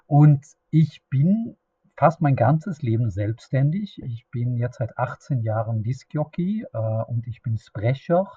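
Middle-aged man, Swedish accent, native Swedish speaker, solo podcast host; unhurried, searching for words, mellow tone, slightly husky, dull dry recording. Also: mid-range voice, expressive, dry recording. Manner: halting, searching for words, many restarts. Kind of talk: unscripted solo podcast monologue.

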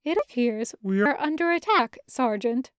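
A woman's voice: a little high, animated, moderate pace, clear, resonant tone, dry recording, studio quality, natural voice. A woman voicing a polite male AI butler in a story, formal in manner and prone to glitching. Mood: polite, urgent. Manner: formal, stuttering on glitched syllables.

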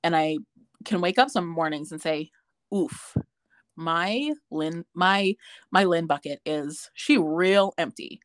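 A woman's voice: on the low side, takes it slowly, no room sound, clean studio sound. A woman talking casually with a friend, telling a story in a chatty way with lots of fillers. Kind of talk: casual chat.

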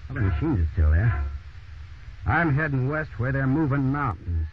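An actor playing a bold, hopeful roving construction worker in a 1930s radio drama, declaiming in a folksy, boastful way. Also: male voice, medium pitch, very animated, moderate pace, natural voice. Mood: restless. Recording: muffled, noisy 1930s radio recording.

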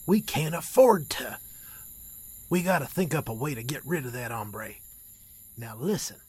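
A man's voice: medium pitch, lively intonation, a little slow, husky. A man playing a short-tempered outlaw ringleader with an American cowboy drawl in a western audio drama. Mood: scheming.

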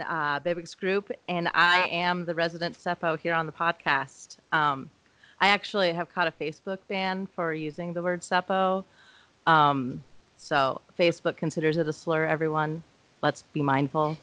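A woman's voice: lowish voice, fairly even intonation, normal speed, bright, forceful tone, no echo. An American woman, a podcast guest, chatting casually with wry, teasing humor. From United States.

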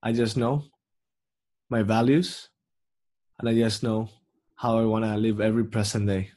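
A man's voice: on the low side, level tone, darkish tone. Reflective young man hosting a podcast. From Mexico. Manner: slow, reflective, with many pauses and ums. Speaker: podcast host. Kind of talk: solo podcast monologue.

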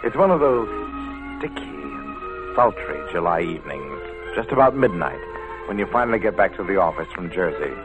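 A man's voice: low-pitched, big pitch swings, moderate pace, muffled recording, music in the background. A man narrating an old-time American radio drama in a dramatic, scripted second-person style. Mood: atmospheric. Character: moody male radio narrator setting the scene.

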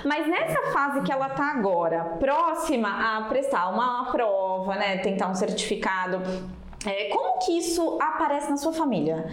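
A woman's voice: a little high, big pitch swings, normal speed. A woman interviewing a guest, asking long questions and offering encouragement. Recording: echoey room.

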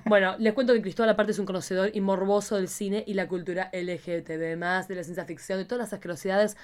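A woman's voice: mid-range voice, fairly even intonation, quick pace, a little mellow. An Argentine woman hosting a casual chat show in an irreverent, theatrical, slangy way. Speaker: podcast host.

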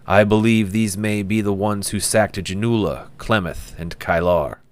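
A man voicing a dutiful male soldier who reports in a brisk, formal way. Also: fairly low pitch, fairly even intonation, natural voice.

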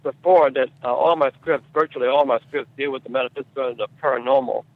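A man answering as a screenwriter guest in a conversational interview, speaking haltingly and repeating his words and phrases. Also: medium pitch, fairly even intonation, no room sound, narrow, tinny audio.

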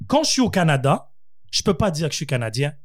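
A man with a slightly high voice argues about identity in a casual podcast chat. He talks animatedly and slips English words into his French. The room sounds close and dry. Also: big pitch swings, fast talker, no room sound. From born in the United States, raised in several countries. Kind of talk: casual discussion.

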